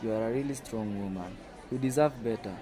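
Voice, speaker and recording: A man's voice: fairly low pitch, brisk, a crowd in the background, natural voice. Earnest smitten young employee, acted by a man, busy background. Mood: sympathetic. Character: earnest smitten male employee comforting his boss. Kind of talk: amateur radio drama dialogue.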